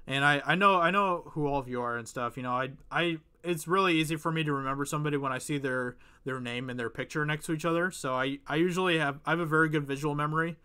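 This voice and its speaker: A young man with a Michigan accent hosting a live stream, a little high-pitched and slightly whiny. His tone is bright and forceful as he rambles through casual chat, full of fillers and restarts. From Michigan, USA. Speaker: streamer host.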